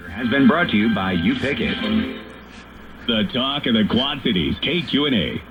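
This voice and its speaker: A man's voice: mid-range voice, lively intonation, moderate pace, dull audio. A man reading station promos as a radio announcer, played through a car radio. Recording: car radio playback with music.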